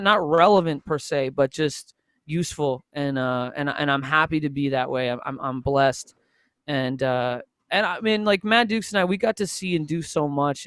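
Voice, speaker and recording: A man's voice: a little high, a bit bright, clear, resonant tone, no room sound, studio quality. A man in his forties from Toronto, a music producer talking in an earnest, reflective way on a casual podcast chat. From Toronto, Canada. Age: 40s. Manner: earnest, reflective, restarts often.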